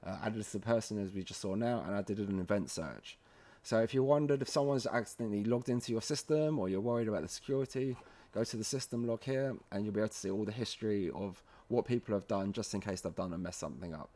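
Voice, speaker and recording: Low-voiced man giving a casual software walkthrough. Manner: informal, halting, often checking in with "okay". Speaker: tutorial presenter.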